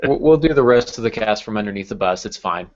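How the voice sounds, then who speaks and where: A man's voice: lowish voice, animated, fast talker, slight background noise. A man hosting a casual podcast, joking and rambling a little as he talks. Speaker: podcast host. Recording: dull lo-fi podcast audio.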